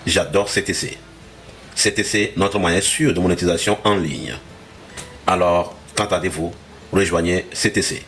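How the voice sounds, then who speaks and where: A man's voice: low-pitched, normal speed, fairly bright tone, slight background noise. A man from Togo giving an upbeat, persuasive testimonial. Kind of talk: video testimonial.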